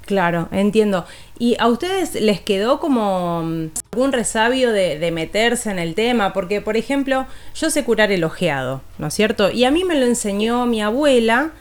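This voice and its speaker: A woman's voice: mid-range voice, animated, normal speed, hardly any pauses. A woman with an Argentine accent interviews casually for radio, feeling her way through informal questions.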